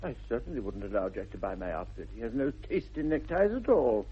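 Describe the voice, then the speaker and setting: Suave, animated English dandy. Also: male voice, lowish voice, fairly quick, slightly rough, exaggerated voice. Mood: mock dismay. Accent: upper-class English. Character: suave, flippant, rakish young English dandy. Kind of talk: 1960s radio comedy-of-manners acting. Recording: dull, muffled old radio broadcast.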